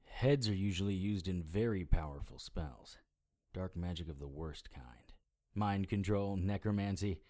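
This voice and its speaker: A man's voice: low voice, measured pace, warm tone. A man playing a wry, weary supernatural private investigator in an audio drama, with a dry, sardonic manner. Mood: reasoning, wary. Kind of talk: audio drama acting with first-person noir narration.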